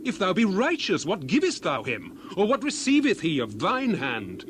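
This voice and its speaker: Man acting solemn biblical orator, highish voice, birdsong. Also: wildly swooping pitch, moderate pace. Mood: stern, questioning. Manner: solemn, declamatory, rhetorical, swinging from hushed to loud. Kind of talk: dramatized King James scripture reading. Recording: clear background noise, outdoor bird ambience.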